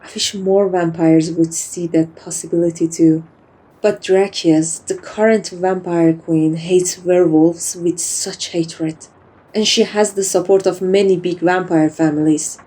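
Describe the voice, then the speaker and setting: A woman playing a kind, dominant vampire in a soft-spoken ASMR roleplay, teasing and doting as if talking to a pet. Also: fairly low pitch, poor audio. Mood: soft, serious.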